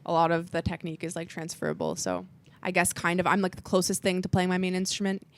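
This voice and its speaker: A woman's voice: on the low side, level tone, fast. A young woman from Newfoundland, a drummer, chatting casually and wryly in a band interview. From Newfoundland, Canada. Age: young adult. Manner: chatty, wry, lots of "like".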